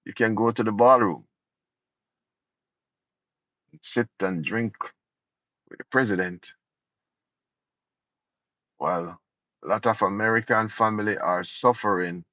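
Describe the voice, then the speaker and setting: Low-voiced Jamaican man vlogging. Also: a little slow, long pauses, bright, forceful tone, dry recording. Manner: indignant, sarcastic. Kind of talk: casual political commentary. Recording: dull lo-fi dry sound.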